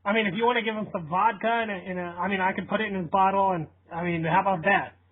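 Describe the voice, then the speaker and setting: A thin, phone-like recording carries a man acting as a casual, negligent parent in a prank call. The voice sits quite high. The delivery is deadpan, hesitant and rambling, with an easygoing, persuasive salesman's patter. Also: fast. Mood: earnest, coaxing. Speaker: prank caller. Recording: thin phone-line radio-like sound.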